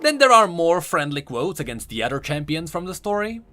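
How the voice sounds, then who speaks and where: Guy explaining game lore, chatty and into it, pitch jumps around, soft music under. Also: very animated, a little fast. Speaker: video host. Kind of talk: game lore commentary voice-over.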